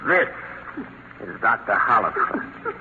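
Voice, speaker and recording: An actor playing a gloating, vengeful mad scientist villain in a theatrical old-time radio thriller style. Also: male voice, medium pitch, wildly swooping pitch, slow pace, heavily acted. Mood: gloating, menacing. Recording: noisy, dull vintage radio recording.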